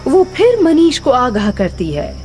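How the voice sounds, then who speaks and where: Female horror narrator, unhurried, mellow tone, dramatic scripted storytelling. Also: high-pitched, wildly swooping pitch, soft background music.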